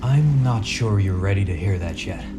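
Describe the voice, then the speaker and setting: An actor playing a stubborn, gruff, weary male superhero in a radio play, with music underneath. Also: low voice, lively intonation, normal speed. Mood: wry, weary.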